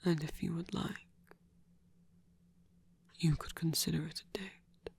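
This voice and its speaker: Man playing courteous seductive vampire, ASMR roleplay, husky breathy whisper, creaky, unhurried and deliberate. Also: a little high, fairly even intonation, full character voice. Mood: earnest, flirtatious. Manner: slow, deliberate, formal phrasing. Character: flirty courteous male vampire, softly seductive. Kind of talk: intimate ASMR audio roleplay.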